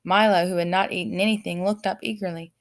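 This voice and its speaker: A woman reading a children's story aloud as an expressive narrator. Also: on the low side, fast. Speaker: audiobook reader.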